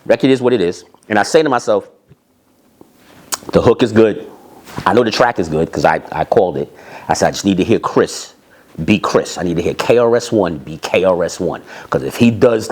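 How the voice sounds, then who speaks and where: A man telling anecdotes in an animated, emphatic way, repeating phrases and acting out bits of dialogue. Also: low voice, brisk, husky. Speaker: storyteller, music industry executive.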